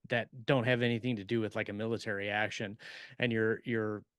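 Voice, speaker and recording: A man co-hosting a podcast as a writer, chatting casually in a halting, rambling way and explaining things by example. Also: on the low side, level tone, a bit bright, smooth, no echo, studio quality. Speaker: podcast co-host and science fiction writer. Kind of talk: casual chat about writing.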